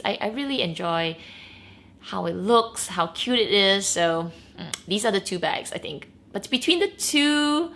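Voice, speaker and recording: A woman's voice: mid-range voice, fairly bright tone. Bubbly Malaysian woman in her thirties. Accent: Malaysian, with a Singaporean tinge. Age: 30s. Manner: bubbly and chatty, with frequent laughs and squeals. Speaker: beauty and luxury vlogger. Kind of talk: casual Q&A chat to camera.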